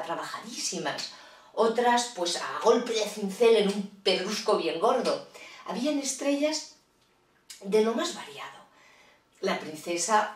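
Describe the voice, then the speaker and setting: A woman telling a fairy tale to children, in a lively, expressive way with chatty asides. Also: mid-range voice, far from the mic. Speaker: storyteller. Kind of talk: children's storytelling. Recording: roomy echo, voice off-mic.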